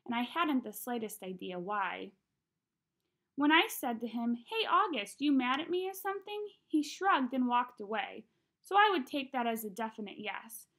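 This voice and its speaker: A young woman reads a children's novel aloud in an expressive, conversational way, acting out the dialogue. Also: on the high side, moderate pace. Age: young adult. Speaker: read-aloud narrator. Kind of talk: expressive audiobook-style reading of a children's novel. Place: small room at home.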